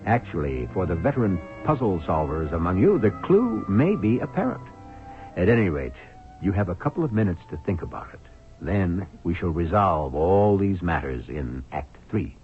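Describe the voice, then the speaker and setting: A man hosting a radio mystery drama, narrating from a script and teasing listeners in a measured manner. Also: sits low, big pitch swings. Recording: thin, phone-like old radio recording. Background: music.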